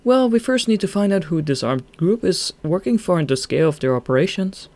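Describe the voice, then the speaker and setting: A man's voice: high voice, animated, a little fast, a little mellow, dry recording, pitched above the performer's own voice. A man voicing a playful, morbid young man who jokes about dying and fakes a trembling, fearful tone. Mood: teasing. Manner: light, teasing, feigns trembling fear.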